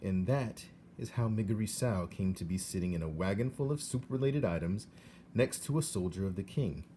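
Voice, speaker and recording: A man narrating a storybook aloud in an expressive scripted reading. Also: low voice, normal speed, a little hiss or hum.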